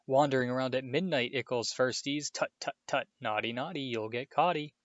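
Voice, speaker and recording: A man's voice: medium pitch, a little fast, a bit bright, no echo, highs cut off, natural voice. A man voicing a mischievous, taunting poltergeist from a children's storybook, gleeful and sing-songy. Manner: sing-songy, mock-innocent, gleeful bellowing. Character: mischievous cackling male poltergeist taunting schoolchildren.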